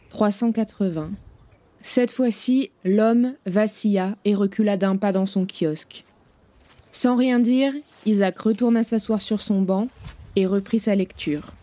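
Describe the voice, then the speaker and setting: A woman narrating an audio drama in a measured, grave storytelling manner. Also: fairly high pitch, mellow, no echo.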